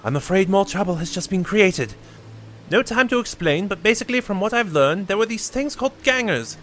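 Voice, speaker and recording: A man doing a hurried, curious time traveller. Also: sits high, lively intonation, a bit bright, slight background noise, pitched above the performer's own voice. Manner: quick, musing, breathless.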